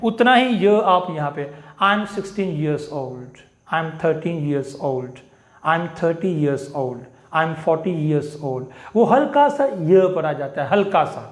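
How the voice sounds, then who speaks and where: Slow high-voiced teacher drilling sounds. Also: male voice, roomy sound. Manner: slow, repeats sounds and example phrases many times. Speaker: English teacher. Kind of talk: live online phonetics class.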